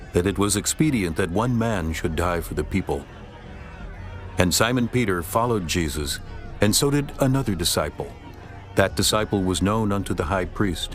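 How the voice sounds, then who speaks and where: A man narrating dramatized scripture in a solemn, formal manner. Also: lowish voice.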